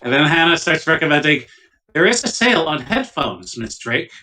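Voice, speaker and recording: A man's voice: on the high side, sing-song, normal speed, sharp tone, poor audio. A man chatting casually as a player in a tabletop roleplaying game.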